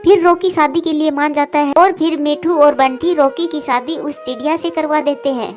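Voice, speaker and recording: High-pitched woman narrating a children's story. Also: steady tone, moderate pace, faint music behind.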